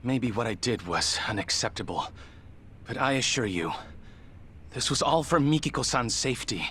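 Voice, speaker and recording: A man's voice: medium pitch, lively intonation, bright, forceful tone, a little hiss or hum. An actor playing a formal, guarded male medical examiner in a video game drama, choosing his words with care. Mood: earnest. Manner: formal, measured diction, falters when pressed. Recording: music behind the voice.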